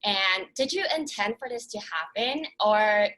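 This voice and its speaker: A young woman from Taiwan co-hosts an interview in a bright, forward voice of medium pitch. She delivers prepared questions. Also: animated, unhurried, rough recording. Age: young adult. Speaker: co-host, intern.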